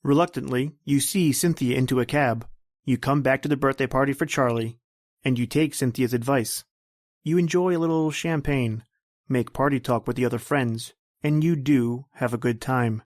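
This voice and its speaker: A man playing an ominous narrator of an old-time radio suspense drama, speaking deliberately and insinuatingly as if straight to the hero. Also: mid-range voice, a little mellow, dry recording, studio quality, lightly acted. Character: ominous male narrator addressing the hero as you. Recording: music under the voice. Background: music.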